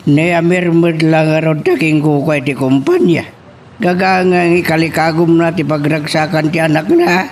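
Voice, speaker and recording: A man playing a grave, worried older father in an Ilocano audio drama, with a creaky voice and an Ilocano accent. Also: on the high side, fairly even intonation, normal speed, natural voice. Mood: worried, resigned. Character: grave, worried old father advising his daughter. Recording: clear background hiss and noise.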